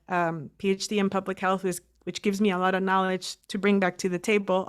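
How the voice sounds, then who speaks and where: A woman's voice: mid-range voice, clean tone. A woman from El Salvador, a nonprofit director guesting on a podcast, telling her story fluently and confidently. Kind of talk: casual interview.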